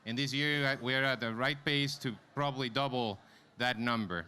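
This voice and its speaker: A man's voice: medium pitch, moderate pace, bright, forceful tone. A man giving a live conference presentation, speaking informally and directly to the audience. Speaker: conference presenter, company vice president. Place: conference stage before an audience. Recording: clear background noise, live event room.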